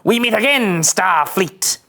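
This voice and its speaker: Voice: medium pitch, lively intonation, vocal fry, sharp tone, exaggerated voice. Woman playing an imperious, menacing alien commander. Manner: slow, threatening, pompous. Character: menacing gloating Romulan warbird commander, hostile and imperious. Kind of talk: table-read villain acting.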